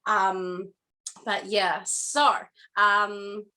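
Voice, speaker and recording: Earnest New Zealand woman, bright voice. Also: medium pitch, little pitch movement. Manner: earnest, reflective, halting with many pauses. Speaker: guest artist. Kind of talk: casual interview chat.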